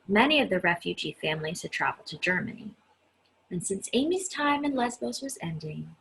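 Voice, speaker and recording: A woman reading a children's story aloud in an expressive, chatty style, stressing key words with dramatic emphasis. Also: medium pitch, husky, low-quality recording. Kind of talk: expressive read-aloud with chatty asides to listeners.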